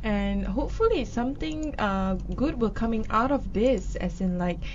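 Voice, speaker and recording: A woman co-hosting a casual news discussion show, chatty and quick to laugh between items. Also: fairly high pitch, lively intonation, highs cut off, a little hiss or hum.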